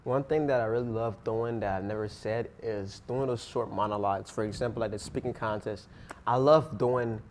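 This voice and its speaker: A man's voice: fairly low pitch, steady tone, normal speed, darkish tone, slight background noise. A teenage boy who speaks English as a second language, answering interview questions in an earnest, rambling way with fillers. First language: not English. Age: teens. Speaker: interviewee, student actor.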